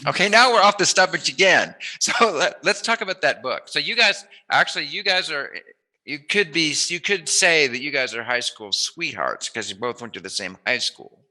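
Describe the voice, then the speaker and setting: A man hosting a casual, joking interview, rambling and teasing and quick to laugh. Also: fairly high pitch, lively intonation, sharp tone, low-quality recording. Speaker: interviewer, host.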